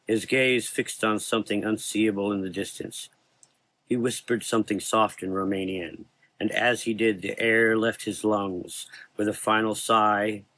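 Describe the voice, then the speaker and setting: A man's voice: on the low side, sharp tone, no echo. A man reading scripted gothic horror narration as a storyteller.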